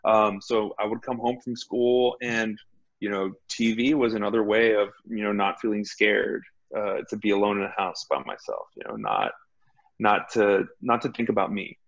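A man in his thirties from Texas, a guest on a video call from home, telling his story in a relaxed, self-deprecating way. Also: on the low side, moderate pace, gravelly, dull audio. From Laredo, Texas. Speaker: guest, addiction counselor. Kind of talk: personal recovery story. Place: home room.

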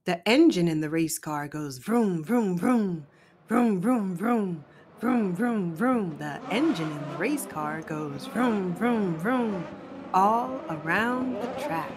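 A woman reads a picture book to children at a leisurely pace. Her voice sits at a medium pitch and moves in an expressive, rhythmic sing-song. Vehicle and ambient noise runs audibly behind her. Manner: rhythmic, expressive delivery with drawn-out sound words. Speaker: children's storybook reader.

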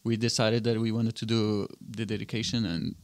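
A man in his twenties with a Brazilian Portuguese accent, a guest on a podcast, speaking in an easygoing, soft way into a studio boom mic in a small home studio. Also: little pitch movement, normal speed, dry recording. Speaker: guest, soccer club founder. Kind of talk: casual conversational interview. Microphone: studio mic on boom arm, headphones.

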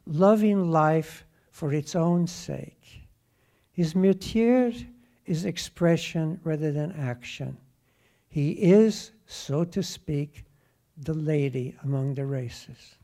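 A man makes a prepared comment at a question session. His voice is mellow and a little higher than average, and his delivery is deliberate, didactic and emphatic. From Norway. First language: English. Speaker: audience questioner, teacher. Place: lecture theatre audience.

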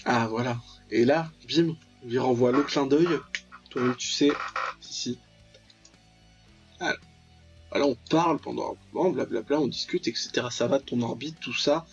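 A man's voice: mid-range voice, normal speed, pauses often, slightly rough. A man hosting a let's play, commenting ironically and reading game text aloud with lots of interjections. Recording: dull lo-fi mic with music behind. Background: game music.

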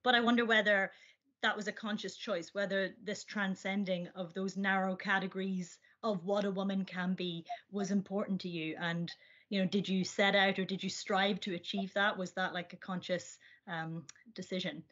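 A woman's voice: mid-range voice, little pitch movement, moderate pace, a bit bright. A young woman asking earnest, polite, long-winded interview questions over a video call. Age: young adult. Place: room indoors at home. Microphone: video call computer mic. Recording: dull, lo-fi video call audio.

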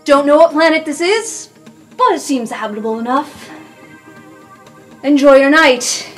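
A woman voicing a gruff, hearty, bearded pirate captain of a spaceship, blustering in pirate slang in an improvised game. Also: very high-pitched, measured pace, fairly bright tone, voice pushed up. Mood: hearty, commanding. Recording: music behind the voice.

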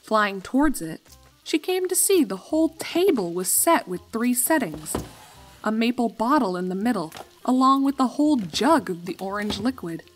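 A woman's voice: a little high, big pitch swings, moderate pace. Woman narrating a story over music. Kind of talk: expressive scripted story narration.

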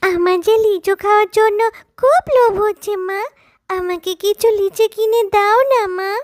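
A woman's voice: extremely high pitch, fairly even intonation, normal speed, no room sound, clean studio sound. A woman voicing a sweet, eager little fox girl who coaxes and begs in a childlike way in a children's cartoon. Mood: eager, begging. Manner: childlike, begging and coaxing. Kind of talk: Bengali children's cartoon dubbing.

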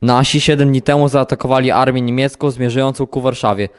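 A man's voice: mid-range voice, steady tone, fast talker, clean tone, lightly acted. A young man playing an alert army captain who gives terse orders in an amateur war audio drama. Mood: alert, official. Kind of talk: scripted war radio drama dialogue.

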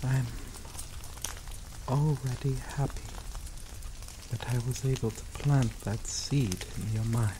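A young man voicing an obsessive, possessive male lover in an ASMR roleplay, speaking slowly and softly with dark chuckles. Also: medium pitch, close to an everyday voice. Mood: obsessive, tender. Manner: slow, soft-spoken, dwelling on words with dark chuckles. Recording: steady rain noise behind voice. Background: rain falling.

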